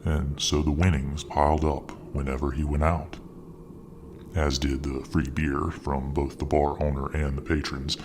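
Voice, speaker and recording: Male ASMR storyteller, extremely low voice, hushed whispery delivery, faint hiss. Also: flat delivery. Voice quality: very deep voice. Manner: slow, soft, partly whispered delivery. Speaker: narrator.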